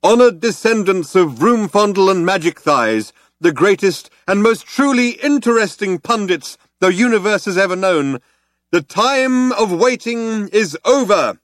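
A fervent public orator, pitched very high and straining loud. He declaims each phrase grandly and stretches it out for a huge crowd, in theatrical audiobook style. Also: lively intonation, a little slow, no echo, studio quality. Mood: exultant. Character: fervent male crowd orator proclaiming grandly over a tannoy. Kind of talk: audiobook character voice.

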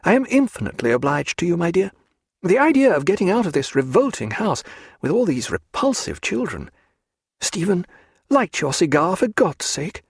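A man's voice: sing-song, normal speed, vocal fry, breathy edge, no echo, clean studio sound, full character voice. A man reading aloud voices a proud, desperate young aristocratic woman who is pregnant and pleads in an upper-class manner. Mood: pleading. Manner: imploring, high-strung, upper-class.

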